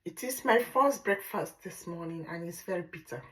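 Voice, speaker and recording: A Nigerian woman whose first language is Igbo, speaking English with a Nigerian accent as a language teacher in a casual vlog, drilling words slowly and repeating them. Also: on the low side, expressive, a bit bright, rough recording. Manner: repetitive drilling, slow deliberate pronunciation.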